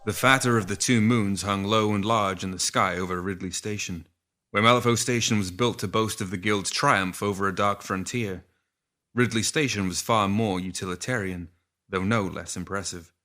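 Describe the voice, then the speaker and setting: Bright, low-voiced man reading fiction. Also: steady tone, moderate pace. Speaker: story reader. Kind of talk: audiobook fiction narration.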